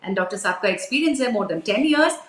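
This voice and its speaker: A woman's voice: medium pitch, animated, rapid-fire, echoey room. A woman with an Indian accent hosting a live health show, switching between English and Hindi as she presents and interviews.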